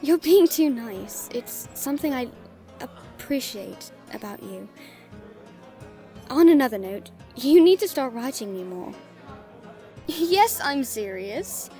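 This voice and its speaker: A woman playing a friendly female merchant in a fantasy audio drama, gently teasing, caring and encouraging as she talks to the listener. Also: sits high, very animated, slow pace, long pauses, faint music behind, heavily acted. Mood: affectionate, insistent.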